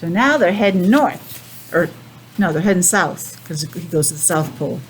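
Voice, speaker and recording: A woman's voice: on the low side, moderate pace, a little hiss or hum, rough recording. A woman teacher reading a picture book aloud to young children, with chatty asides and simple questions. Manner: animated, addressing small children with simple questions.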